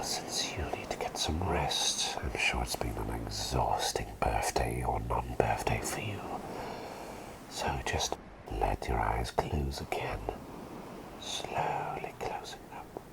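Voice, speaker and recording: Male actor as doting plague doctor for ASMR roleplay: extremely low, whispered, soothing, with lilting, varied intonation. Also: heavily acted, rough recording. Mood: soothing, tender. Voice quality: breathy whisper with creaky low undertone. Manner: soothing, doting, repeats phrases tenderly. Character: doting, gentle male plague doctor tending his patient.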